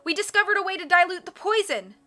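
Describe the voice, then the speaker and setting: An earnest teenage storybook heroine, voiced by a woman. She is firm and urgent, with a higher, bright, forceful voice over faint music. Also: moderate pace. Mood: urgent shouting.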